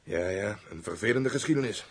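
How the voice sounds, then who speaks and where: A fussy male castle steward in a radio play, with a lowish voice that swings widely. He is servile and chatty, full of obsequious exclamations. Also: lively intonation, fairly quick, husky. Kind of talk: radio drama acting.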